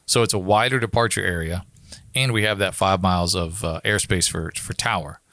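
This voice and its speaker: A man's voice: sits low, fairly quick, bright, forceful tone, dry recording, studio quality. An American man talking casually and informally, explaining how his work as an air traffic controller goes, like a guest on a podcast.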